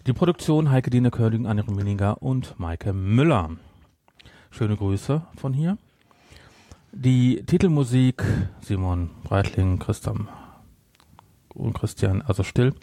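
A middle-aged man hosting a podcast in casual, bantering chat, quick to interrupt. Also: on the low side, animated, mellow, no room sound. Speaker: podcast host.

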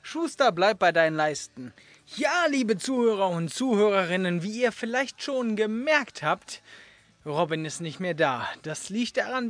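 A man with a distinctly high voice hosts a casual podcast interview. His delivery is colloquial and teasing, and the sound is dry and close. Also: a bit bright, no room sound. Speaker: podcast host.

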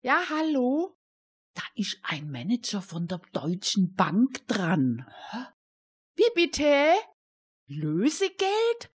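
A woman plays an excitable amateur detective in a homemade crime radio play. She stammers and exclaims indignantly, with a slightly husky voice that leaps up and down. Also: mid-range voice, takes it slowly, heavily acted.

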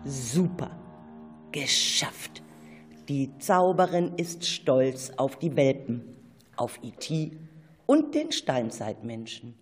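A woman narrating a children's radio play in a dramatic, deliberate storytelling manner, with a reverberant sound. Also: extremely low pitch, very animated, faint music behind.

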